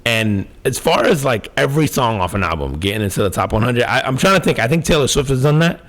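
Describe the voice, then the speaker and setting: Guy cohosting a podcast, just chatting, excited, lots of slang and swearing. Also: mid-range voice, fast talker. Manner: profane, slangy, enthusiastic. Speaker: podcast co-host. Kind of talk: casual chat.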